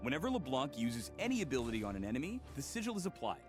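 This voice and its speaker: A man's voice: medium pitch, huge pitch swings, very fast. A man narrating a game trailer with an upbeat, polished announcer delivery. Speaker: promo narrator. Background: game music.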